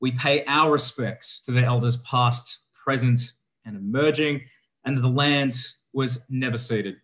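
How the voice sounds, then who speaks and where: A young man hosting an online event as an educator, upbeat and clear. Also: medium pitch, measured pace, a bit bright. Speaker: educator and host. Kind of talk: webinar hosting. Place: room on video call. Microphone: video call audio.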